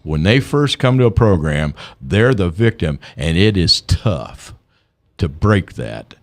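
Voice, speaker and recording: An older man, a guest on a radio talk show, telling stories in an animated way and repeating words as he gets going. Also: low-pitched, slow. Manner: animated storyteller, repeats words when excited. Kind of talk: radio interview.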